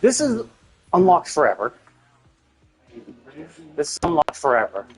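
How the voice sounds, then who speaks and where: A man livestreaming a game with casual, rambling commentary, thinking out loud, cutting himself off and swearing freely. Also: fairly high pitch, wildly swooping pitch, measured pace, faint music behind, low-quality recording. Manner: casually profane. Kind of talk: casual gameplay stream commentary.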